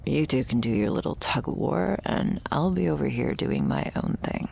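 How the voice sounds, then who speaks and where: A woman playing a wry, guarded young thrall in a vampire ASMR roleplay, gravelly and often slipping toward a whisper, with a dry, hesitant way of musing. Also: extremely low pitch, huge pitch swings, moderate pace, a little mellow, full character voice. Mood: wry, cautioning.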